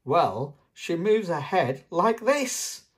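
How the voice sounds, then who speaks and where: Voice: medium pitch, big pitch swings, slow pace, breathy edge, voice pushed up, exaggerated voice. An adult reader voicing a patient, easygoing father pig in a children's storybook. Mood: obliging. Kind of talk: children's storybook read-aloud.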